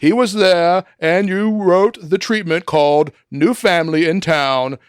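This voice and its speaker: Man as an earnest, high-voiced deputy executive. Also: a little slow, smooth, dry recording, clean studio sound. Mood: weary, placating. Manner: hesitant, polite, gently corrective. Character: earnest, decent, patient male deputy executive. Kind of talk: comic radio drama acting.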